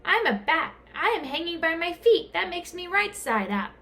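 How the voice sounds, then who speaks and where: A woman voicing a peculiar, matter-of-fact bat in a children's story, speaking in a drawn-out, emphatic, correcting way. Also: very high voice, big pitch swings, bright, forceful tone, pitched above the performer's own voice, full character voice. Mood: know-it-all.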